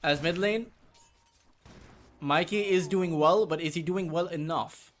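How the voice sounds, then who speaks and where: A man who speaks Urdu as his first language, casting a match alone, with rambling asides between quick play calls. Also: fairly high pitch, fairly bright tone, faint music behind. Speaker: esports caster. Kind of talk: solo play-by-play commentary.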